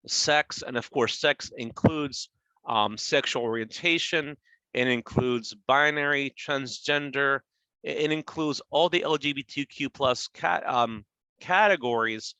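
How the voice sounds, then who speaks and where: Guy in his 40s doing a casual explainer talk, bright forward voice, really dry sound, rephrases stuff a lot. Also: mid-range voice, no echo, poor audio. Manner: conversational, checks in with "right?"; explanatory, rephrases and repeats points. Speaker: government agency presenter. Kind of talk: informal webinar presentation on workplace law.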